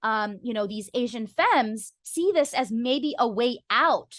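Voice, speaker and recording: A woman speaks as a podcast guest in a fairly high voice with a dry, close sound. She explains her opinions in an earnest, articulate, conversational way, peppered with "you know". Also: animated, measured pace, dry recording.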